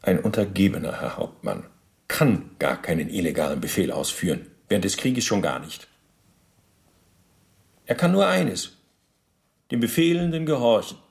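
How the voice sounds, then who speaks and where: Deep, emphatic former SS officer on the defensive. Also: male voice, big pitch swings, vocal fry, full character voice. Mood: insistent. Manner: halting, emphatic, self-justifying, formal and deferential. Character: evasive indignant former SS officer under police interrogation. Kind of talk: docudrama radio play dialogue.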